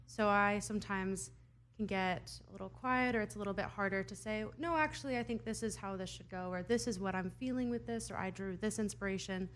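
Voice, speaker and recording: A woman's voice: medium pitch, a little fast, a bit bright, a little hiss or hum. A young woman from Idaho, a singer-songwriter answering interview questions in an earnest, thoughtful way with laughs between answers.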